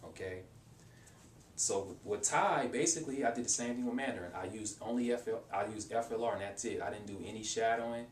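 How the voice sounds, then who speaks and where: A man's voice: fairly quick, far from the mic. A young man giving casual, rambling advice in a language-learning vlog, with many restarts and fillers. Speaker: language-learning video maker. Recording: roomy echo, off-mic, faint rumble.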